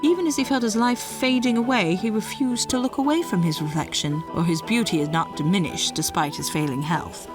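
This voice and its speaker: Mid-pitched woman narrating a myth. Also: music in the background. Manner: measured, dramatic storytelling delivery. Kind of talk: scripted storytelling narration.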